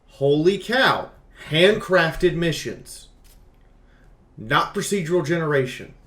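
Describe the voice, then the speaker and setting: A man's voice: a little high, animated, slow, fairly bright tone. Blunt man reviewing games, roomy sound. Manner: blunt, emphatic, repeats phrases for stress. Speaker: game reviewer and YouTuber. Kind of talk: opinionated game review commentary.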